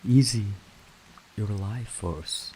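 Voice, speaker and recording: A man's voice: on the low side, warm tone, breathy edge, heavily acted, low-quality recording. A man playing a menacing, taunting villain who feeds on life force, in an amateur radio drama. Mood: menacing, gloating. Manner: taunting, theatrical, deliberate.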